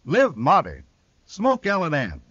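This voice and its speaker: A man's voice: fairly high pitch, wildly swooping pitch, a little slow, a loud crowd. An American man announcing a scripted 1950s radio commercial in an emphatic, polished way. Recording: dull vintage radio recording.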